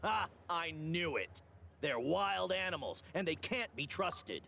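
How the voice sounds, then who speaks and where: A hot-headed, distrustful game character, speaks in a fairly high male voice. It is sharp and gravelly, with a scornful, accusing edge. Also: lively intonation, unhurried, heavily acted. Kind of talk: video game dialogue acting.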